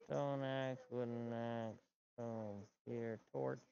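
A man's voice: mid-range voice, fairly even intonation, very slow, vocal fry, warm tone, clear, resonant tone, no room sound, dull audio. A middle-aged man streaming a game from home, grumbling and talking to himself as he plays. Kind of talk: casual gameplay chat. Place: home gaming room, indoors.

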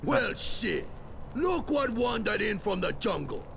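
An actor playing a crude, gruff male thug in a video game, jeering at a newcomer. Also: high voice, sing-song, slow, a bit bright, a little hiss or hum, heavily acted. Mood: mocking. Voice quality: gravelly, crude. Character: gruff male thug guarding a jungle camp.

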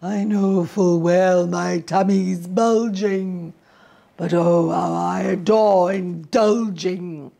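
A woman voicing a greedy, gloating male storybook wolf with drawn-out, theatrical menace. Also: fairly low pitch, fairly even intonation, gravelly, mellow, full character voice. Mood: greedy glee.

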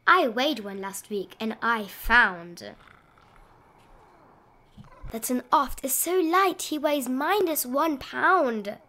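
A woman narrating a rhyming children's bedtime picture book in an expressive, sing-song read-aloud style with drawn-out emphasis. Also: high voice. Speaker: storybook narrator.

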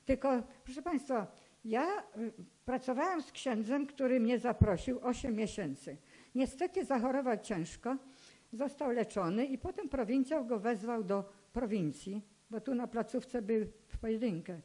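Elderly woman with a highish voice, earnest speaker. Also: lively intonation, mellow, low-quality recording. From Poland. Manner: earnest, admonishing, deliberate with repeated phrases. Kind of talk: public talk, personal testimony. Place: indoor hall with stage and seated audience. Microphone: microphone on a stand, close to the mouth.

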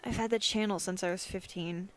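A woman's voice: medium pitch, steady tone, brisk, natural voice. A woman playing a young, bashful, dry-humoured goth college girl in a casual ASMR roleplay. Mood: embarrassed. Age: young adult. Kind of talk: ASMR roleplay audio drama.

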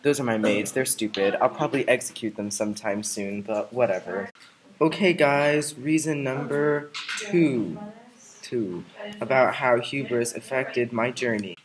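A man's voice: medium pitch, unhurried, crowd noise, natural voice, rough recording. A man playing a vain, boastful Greek hero as a vlogger, talking casually with lots of fillers in a comedic parody. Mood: smug. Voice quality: casual, filler-heavy, smug delivery.

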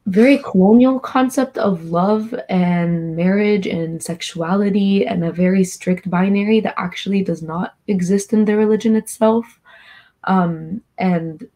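A woman's voice: mid-range voice, a little slow, dark tone. A young woman who grew up in Egypt, speaking earnestly and reflectively as a panelist over a video call from a small room. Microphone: laptop webcam video call.

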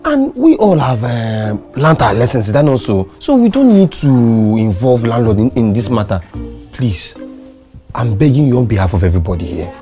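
Woman as an anxious neighbor; pleading, lively pitch, soft music. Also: normal speed. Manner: pleading, rising to shrill panic. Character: anxious female neighbor, pleading and easily alarmed.

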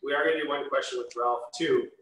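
A man's voice: mid-range voice, fairly even intonation, fast, fairly bright tone, echoey room, distant mic, rough recording. A man hosting a casual live stream, upbeat and enthusiastic as he welcomes a guest. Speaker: host.

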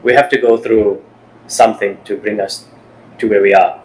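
Lower-voiced middle-aged male host, halting. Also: fairly even intonation, darkish tone, poor audio. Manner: halting, restarts questions, supportive. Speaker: podcast host. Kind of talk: interview questions. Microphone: over-ear headphones, video call.

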